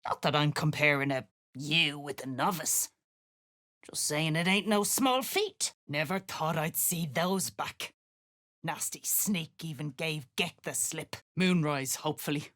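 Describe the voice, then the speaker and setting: A woman playing a gruff, threatening dwarf sergeant in a video game, coarse and slangy in her speech. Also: deep voice, unhurried, a bit bright. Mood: gruff, urgent. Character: gruff, menacing female duergar sergeant driving slaves. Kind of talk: video game character acting.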